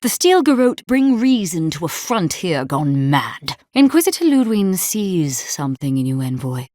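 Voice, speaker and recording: Stern female captain, video game character, haughty and zealous, formal clipped speech, lowish pitch, very varied intonation. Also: very animated, measured pace, darkish tone, no echo, studio quality. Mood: haughty, threatening.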